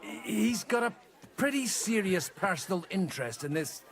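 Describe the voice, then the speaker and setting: An actor playing a shrewd, worldly priest in a medieval video game, wry and persuasive in manner. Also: male voice, sits high, lively intonation, normal speed. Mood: persuasive, pleased. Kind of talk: dramatic video game voice acting.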